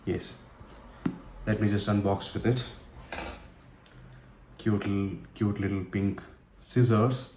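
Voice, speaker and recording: A man with an Indian accent narrating an unboxing casually and unscripted, in halting phrases broken by pauses. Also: fairly low pitch, fairly even intonation, dark tone. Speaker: tech and motorcycle vlogger. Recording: roomy echo with faint background noise.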